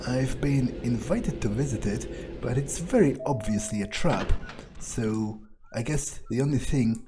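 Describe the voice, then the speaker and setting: Slow-talking man musing in character over music. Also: medium pitch, expressive. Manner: hesitant, musing, dryly morbid, casual swearing. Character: sardonic, callous male vampire musing to himself. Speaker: let's player role-playing a character. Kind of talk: in-character improvised gameplay monologue.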